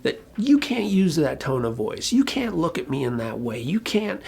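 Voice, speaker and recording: A presenter giving an informal explainer talk in a colloquial, emphatic way, with a gravelly, husky voice. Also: medium pitch, sing-song, normal speed, darkish tone. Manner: colloquial, emphatic, occasionally swearing. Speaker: presenter explaining relationship conflict.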